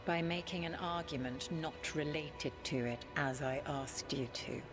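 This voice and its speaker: A woman playing an ancient, proud elven goddess spirit in a video game, speaking formally and with haughty, deliberate command. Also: deep voice, over music. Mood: imperious, challenging. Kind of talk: dramatic video game acting.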